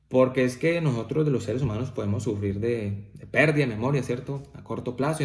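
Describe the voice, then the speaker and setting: A man's voice: fairly low pitch, darkish tone, roomy sound. A man preaching a family devotional sermon, often checking in with "¿cierto?" and rising to emphatic peaks. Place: church.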